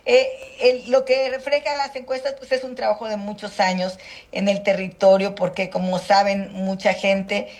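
A middle-aged woman with a Mexican accent, a senator answering interview questions in a measured, hesitant way with many fillers. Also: medium pitch, flat delivery, darkish tone. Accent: Mexican Spanish. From Mexico, Guerrero Costa Chica. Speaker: senator and political candidate. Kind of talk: phone or remote interview.

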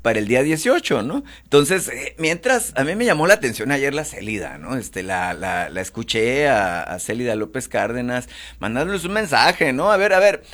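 A man's voice: medium pitch, very animated, moderate pace, fairly bright tone. A man with a Mexican Spanish accent, talking politics casually on a panel, with many fillers. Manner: chatty, many fillers, acts out quoted speech.

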